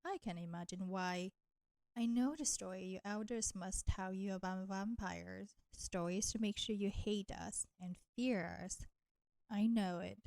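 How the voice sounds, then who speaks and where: A woman's voice: mid-range voice, creaky, a little mellow. A woman voicing a flirty, nurturing vampire in an intimate roleplay audio drama, teasing and coaxing the listener. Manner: slow, teasing, coaxing, addressing listener with pet names. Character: flirty, teasing, nurturing female vampire, ancient enemy turned caretaker.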